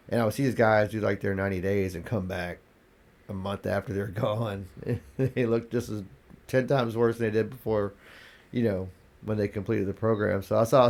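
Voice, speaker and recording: A congested man with a gravelly voice, talking candidly as a podcast guest, restarting his sentences as he tells his story. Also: low-pitched, a little mellow. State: congested, coughing. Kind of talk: casual interview talk.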